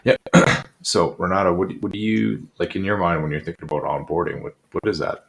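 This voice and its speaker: A man's voice: deep voice, steady tone, a little fast, gravelly, poor audio. A man hosting a casual video-call chat, easygoing, asking clarifying questions. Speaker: host.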